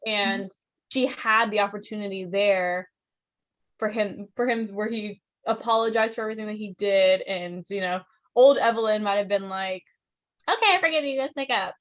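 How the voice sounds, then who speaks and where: A woman's voice: mid-range voice, moderate pace, highs cut off. A woman in a book club, talking casually and acting out quotes from the book. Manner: animated, acts out quotes.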